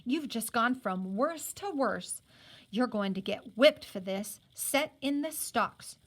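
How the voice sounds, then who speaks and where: A woman's voice: on the high side, expressive, slow pace. A woman voicing an outraged colonial housewife who scolds and accuses in folksy old-fashioned speech, from a storybook. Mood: outraged scolding.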